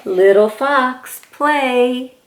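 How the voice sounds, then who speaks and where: A woman reading a picture book aloud to young children as a host, with excited, encouraging exclamations. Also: sits high, extremely slow, slight background noise.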